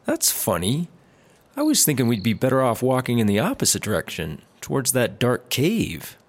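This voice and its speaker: A man's voice: medium pitch, wildly swooping pitch, moderate pace. A man acting an easygoing, food-loving, cheerfully oblivious buddy in a sci-fi comedy audio drama. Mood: dreamy, eager. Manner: casual, unbothered, cheerfully oblivious. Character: laid-back, easygoing, food-loving male squad car buddy.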